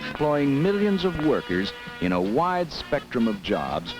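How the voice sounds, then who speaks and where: A man's voice: mid-range voice, sing-song, measured pace. A man reading scripted educational narration, as from an old film soundtrack. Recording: vintage 16mm film soundtrack. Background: music.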